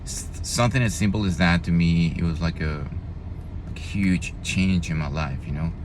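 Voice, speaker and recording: A man's voice: low-pitched, moderate pace. A man from Venezuela with a Venezuelan Spanish accent, talking heatedly in a casual political monologue, with fillers, restarts and swearing. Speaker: vlogger. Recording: loud traffic noise outdoors.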